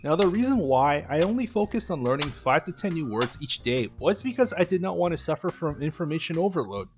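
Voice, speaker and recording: A man reads his vlog narration in a steady, instructive way, like he is passing on tips. His voice sits a bit high and moves through a lively pitch range. Soft music plays faintly underneath. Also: a little fast. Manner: steady, instructive, advice-giving delivery. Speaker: dating and language vlogger. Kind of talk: scripted voice-over narration.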